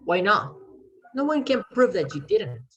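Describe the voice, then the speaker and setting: A woman performing in a readers theater as a crime writer, earnest and persuasive, speaking haltingly while pitching a scheme to save a friend. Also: sing-song, fairly bright tone, highs cut off. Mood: insistent.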